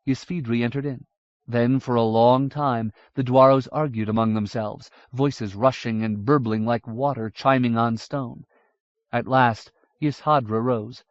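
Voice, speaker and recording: A man reading a fantasy novel aloud in a measured, even storytelling manner. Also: mid-range voice, dry recording. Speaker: audiobook narrator. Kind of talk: scripted fantasy narration. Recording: dull dry audiobook recording.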